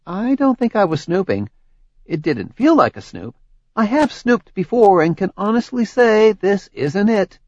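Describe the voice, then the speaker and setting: A male narrator voicing a cheerful, gently teasing young woman with a light, dryly sarcastic manner. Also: medium pitch, expressive, a little slow, dry recording. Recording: dry, slightly dull audiobook recording.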